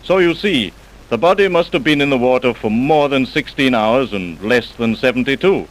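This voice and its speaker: A man's voice: medium pitch, lively intonation, natural voice, low-quality recording. Clever forensics expert, male actor, dry and self-assured, swinging intonation, vintage radio mystery. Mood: confident explaining. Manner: measured, wry, self-assured explaining. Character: clever, unflappable male police scientist, drily teasing. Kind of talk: old-time radio crime drama acting. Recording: vintage radio broadcast recording.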